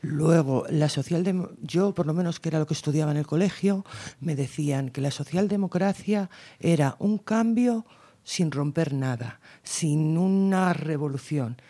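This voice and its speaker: A woman from Guadalajara, Spain, speaking as a political campaigner on a radio panel, earnest and emphatic, slowing down to stress her points. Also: low voice, dark tone.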